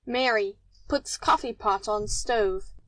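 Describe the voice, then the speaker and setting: A woman's voice: medium pitch, slow, fairly bright tone, no room sound. A woman narrating, reading stage directions from a script.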